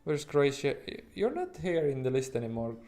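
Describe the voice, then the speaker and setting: Halting Estonian-accented man, livestream host. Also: a little high, very animated, a little mellow. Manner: halting, rambling, informal, occasional swearing. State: sleep-deprived. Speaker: livestream host, futures trader. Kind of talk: casual livestream chat with viewers.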